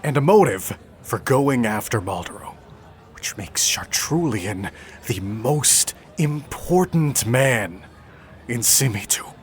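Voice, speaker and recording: An actor playing an insistent, calculating revolutionary leader in an audio drama, deliberate and pressuring. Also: male voice, medium pitch, animated. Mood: grave, intense.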